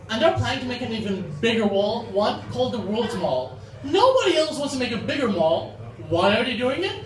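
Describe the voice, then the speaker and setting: Animated, high-pitched man doing amateur stand-up. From Dubai. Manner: animated, acts out short quoted exchanges. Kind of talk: stand-up comedy at an open mic. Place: open mic comedy venue in front of an audience. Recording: echoey, noisy room recorded from far off.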